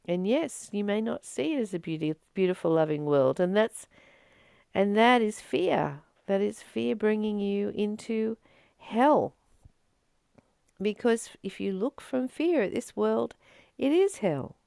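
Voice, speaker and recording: A woman reading aloud and reflecting on spiritual teachings in a deliberate, emphatic way, with pauses between phrases. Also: mid-range voice, gravelly, mellow, clear, resonant tone, no room sound, studio quality. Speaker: host of a spiritual reading channel.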